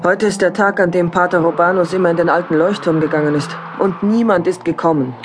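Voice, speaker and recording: A voice actress playing the elder's frightened daughter in a radio play, anxious and pleading. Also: female voice, fairly low pitch, steady tone, brisk, background noise, close to an everyday voice. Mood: fearful.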